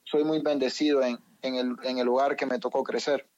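A man's voice: medium pitch, flat delivery, fairly quick, a little mellow, no echo, muffled recording. Hesitant young Honduran man. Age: young adult. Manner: hesitant, many fillers and repeated words. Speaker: guest, professional footballer.

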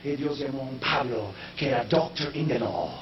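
A man preaching a sermon in a language that is not his first, slipping in English phrases and rising into shouted, sung-out peaks. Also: medium pitch, normal speed. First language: not Spanish. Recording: echoey, distant, dull sound with faint noise.

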